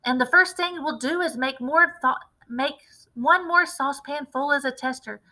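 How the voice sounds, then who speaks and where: A woman voicing a wildly excited, impatient, domineering farmer father in a storybook read-aloud, exclamatory and emphatic. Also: sits high, normal speed, pitched above the performer's own voice, rough recording. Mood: eager, insistent.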